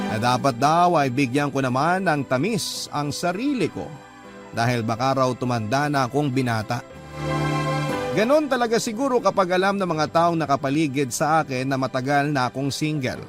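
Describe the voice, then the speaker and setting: A Filipino man narrating a first-person love letter in the style of a radio drama. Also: medium pitch. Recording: music bed under the voice. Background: background music.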